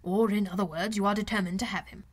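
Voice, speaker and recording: A wry older gentleman father from a classic novel audiobook, played by a woman. The delivery is measured, dry and formal, with a fond, advising temper. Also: medium pitch, fairly even intonation, husky, natural voice. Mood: sceptical. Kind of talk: audiobook character voice.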